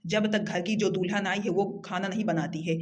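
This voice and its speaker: A woman's voice: mid-range voice, steady tone, brisk, lightly acted. A woman voicing a domineering, sarcastic elder brother-in-law in an amateur cartoon comedy. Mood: angry, sulking. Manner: sarcastic, hectoring.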